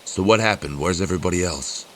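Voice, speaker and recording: A big, bullying frat guy with an extremely deep voice. He is gruff and confrontational, in the manner of a horror audio drama. Clear hissy noise sits behind the voice. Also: fast, fairly bright tone. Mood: gruff, annoyed. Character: big, gruff, bullying bearded fraternity man.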